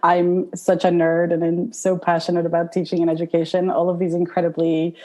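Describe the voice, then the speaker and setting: A woman's voice: on the low side, completely flat delivery, fairly quick, mellow. A young adult woman from Iran, a guest on a podcast interview, chatty, laughing often and talking with many fillers. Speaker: guest, tutor.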